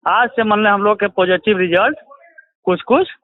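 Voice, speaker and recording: A man with a Bihari accent speaks in a high-pitched voice over a tinny, choppy phone line. He recounts events in a halting, colloquial way, as in a phone interview. The sound is dry and narrow. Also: no room sound. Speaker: interviewee, relative of a crime victim. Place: phone call.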